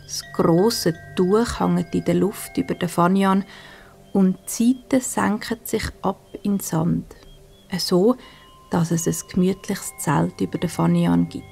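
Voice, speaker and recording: Bedtime storyteller: woman, Swiss German accent, hushed and soothing, unhurried pacing, creaky edges. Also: lowish voice, fairly even intonation, soft background music. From Switzerland. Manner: soft, soothing, breathy hushed delivery with gentle pauses. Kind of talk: scripted bedtime story narration for children.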